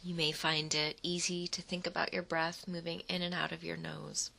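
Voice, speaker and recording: A woman leading a guided imagery meditation in a soothing, unhurried manner, speaking in short phrases with pauses between them. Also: fairly low pitch, little pitch movement, creaky, sharp tone, slight background noise. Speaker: guided relaxation narrator.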